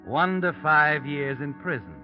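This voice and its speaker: A man's voice: on the high side, sing-song, measured pace. A man playing a taunting, all-knowing narrator in a 1940s radio mystery, speaking theatrically to a guilty man. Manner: taunting, theatrical second-person address. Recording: dull old radio recording, loud music behind.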